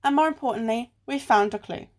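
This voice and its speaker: A woman's voice: on the high side, fairly quick, darkish tone, lightly acted. Dry, sarcastic, sensible woman sleuth acted out. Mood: exasperated, sarcastic. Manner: dry, sarcastic, matter-of-fact. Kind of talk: amateur radio play acting.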